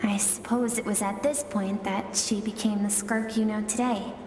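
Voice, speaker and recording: An actress voicing a gentle female storyteller in a video game, telling a tragic tale in a solemn, wistful, measured way. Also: medium pitch, fairly even intonation, noisy background, lightly acted. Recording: long echoing reverb, loud music.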